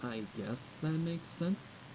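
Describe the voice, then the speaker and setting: Man voicing an awkward young pony filly, pitched up. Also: takes it slowly, background noise. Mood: tentative. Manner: stammering, hesitant. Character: awkward young female pony, nervous and eager to please.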